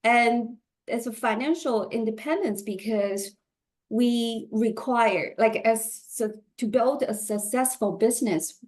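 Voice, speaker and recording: A woman taking part as a guest coach on a video call, speaking slowly and haltingly, with fillers and light laughs. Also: fairly high pitch, a little mellow. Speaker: money mindset coach. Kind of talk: guest interview. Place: Vancouver, Canada.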